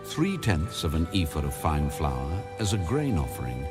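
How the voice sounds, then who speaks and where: A man narrating scripture as a formal scripted reading, measured and solemn. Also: very deep voice, very animated. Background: background music.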